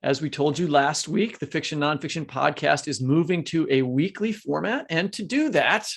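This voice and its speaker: Creaky, higher-pitched male podcast host. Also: normal speed. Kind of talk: conversational podcast hosting.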